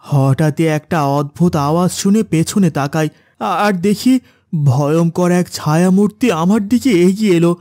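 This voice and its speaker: A man's voice: sits high, normal speed, warm tone, no echo, studio quality, close to an everyday voice. A man playing an anxious, haunted village van driver in a horror cartoon, stammering when frightened. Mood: subdued, shaken. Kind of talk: dramatic horror cartoon acting.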